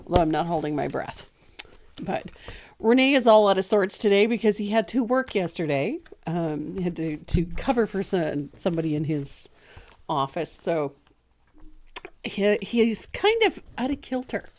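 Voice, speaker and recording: A woman in her sixties who chats through a painting lesson with a lively, swooping voice. She laughs often and pokes fun at herself in a relaxed, easygoing way. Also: mid-range voice, moderate pace. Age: 60s. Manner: chatty, laughs often, self-deprecating. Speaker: decorative painting teacher. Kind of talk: casual livestream painting lesson.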